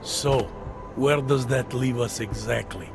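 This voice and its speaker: An actor playing a gruff, skeptical police detective in a video game, blunt and measured as he questions things. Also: mid-range voice, music in the background. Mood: challenging. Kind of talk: video game cutscene acting.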